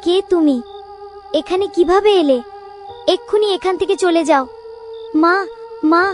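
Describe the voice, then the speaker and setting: A woman acts out a small, innocent schoolgirl for a cartoon tale. The voice is very high and childlike, with an earnest, timid way of talking. Faint music plays behind it. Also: unhurried. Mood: frightened. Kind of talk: Bengali cartoon story acting.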